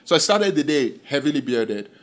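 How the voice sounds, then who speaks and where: A man's voice: a little high, lively intonation, normal speed, a bit bright. A man co-hosting a podcast, chatting casually and jokingly with a friend. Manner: playful, joking. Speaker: podcast co-host.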